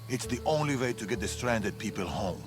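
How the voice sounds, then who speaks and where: An actor playing a grave, wary older warrior father in a video game, speaking in a measured, solemn, ominous way over dramatic music. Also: medium pitch, lively intonation, lightly acted. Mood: grave warning.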